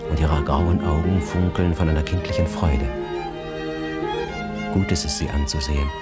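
An actor as narrator of a German radio drama, telling the story in a measured, intimate, expressive literary style. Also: male voice, rumbling low, over loud music. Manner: measured, expressive, savouring details.

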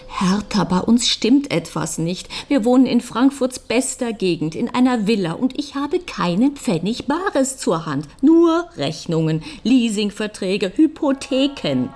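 An actress playing a middle-aged, newly widowed lawyer's wife in a German radio crime drama, frank, dry and impatient. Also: female voice, on the high side, big pitch swings, a little slow, few pauses, darkish tone. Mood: exasperated.

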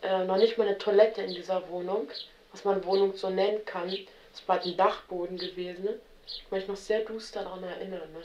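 A woman's voice: mid-range voice, fairly even intonation, fairly quick, mellow, highs cut off, slight background noise. A young woman with a Berlin accent, talking hesitantly about her life in a recorded interview, often stopping with little tag questions. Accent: Berlin German. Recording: roomy, distant 1970s tape recording.

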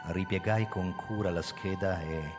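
An actor playing a proud, guarded older police commissioner who narrates his own story in a theatrical, measured radio drama style. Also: low voice, steady tone. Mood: grave, troubled. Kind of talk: live radio drama reading with music. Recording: reverberant hall with music behind. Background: music.

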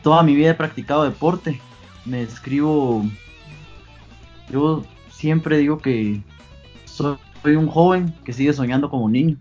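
A young Guatemalan man in his twenties with a slightly husky voice, answering questions in an earnest, halting way. The sound is dull and lo-fi, with faint music underneath. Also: fairly high pitch, a little mellow. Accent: Guatemalan Spanish. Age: 20s. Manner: earnest, pauses with many fillers. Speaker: guest athlete. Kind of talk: interview answers.

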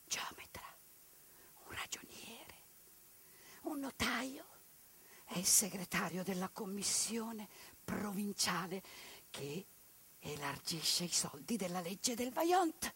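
A woman performing a theatrical monologue, narrating dramatically, moving between hushed and declaimed delivery. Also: medium pitch, big pitch swings, slow pace, pauses often, breathy edge.

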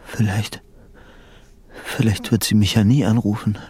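A man's voice: on the low side, little pitch movement, measured pace, over loud music, close to an everyday voice. An actor in a radio drama playing an obsessive, deluded lovesick man, intense and insistent. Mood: brooding, desperate.

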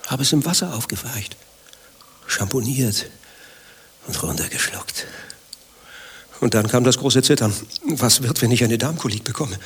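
A man's voice: medium pitch, a little slow, long pauses, slight background noise, close to an everyday voice. An actor playing an anxious, grumbling married man with a secret lover, telling his troubles in fretful clipped phrases in a satirical radio play. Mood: harried, dramatic. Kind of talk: dialogue-driven satirical radio play acting.

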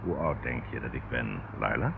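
A man's voice: rumbling low, animated, normal speed, vocal fry, full character voice. An actor in his fifties playing a coaxing, evasive ship captain in a radio drama. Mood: coaxing, secretive. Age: 50s. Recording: tinny band-limited old radio recording, noisy.

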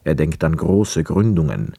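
A reflective, observant returned soldier narrates a radio drama. The voice is low, dark and husky, closely and dryly recorded, with measured wry delivery. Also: male voice, big pitch swings, no room sound, studio quality, exaggerated voice.

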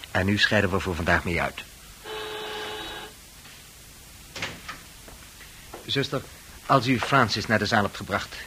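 A man playing a calm, persuasive psychiatrist with reassuring authority in a radio drama. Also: low voice, low-quality recording. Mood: brisk. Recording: hissy old radio drama recording.

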